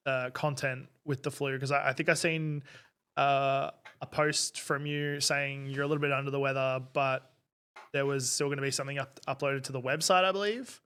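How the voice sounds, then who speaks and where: A man's voice: mid-range voice, steady tone, moderate pace, a bit bright, clean tone. A man hosting a podcast in a casual, chatty way, laughing often and wandering into asides. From Australia. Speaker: podcast host. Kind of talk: casual chat.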